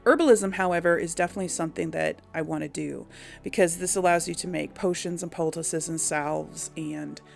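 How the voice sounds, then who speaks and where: A woman with a lowish voice and a noticeable creak chats her way through game commentary. She speaks informally and rambles as she thinks aloud, with music playing behind her. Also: steady tone, fairly quick. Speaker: gaming host. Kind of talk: casual game commentary to an audience.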